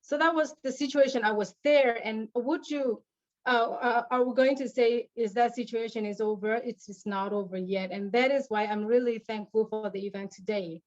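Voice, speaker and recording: A woman from tells her own story as a panel guest, speaking English learned as an adult. Her delivery is earnest and halting, full of restarts. The video call audio sounds dull and lo-fi. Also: fairly high pitch, a little mellow. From Burma. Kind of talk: personal story at an online panel.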